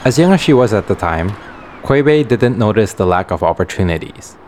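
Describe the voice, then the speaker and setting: A man narrating a documentary feature in a clear, storytelling manner. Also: fairly low pitch, lively intonation, normal speed, darkish tone, slight background noise.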